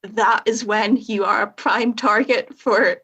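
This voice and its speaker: Husky young Northern Irish woman. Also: fairly high pitch, fairly even intonation, slow, fairly bright tone, highs cut off. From Northern Ireland. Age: young adult. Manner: frank, self-mocking, laughs at own story. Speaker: podcast guest. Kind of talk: casual conversation.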